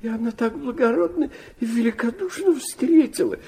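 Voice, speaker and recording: An actor playing a sentimental, frail old prince in a radio drama, genteel and tremulous in manner. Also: male voice, extremely high pitch, animated, moderate pace, creaky, dark tone, full character voice. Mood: rapturous, tender. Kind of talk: Russian radio drama acting.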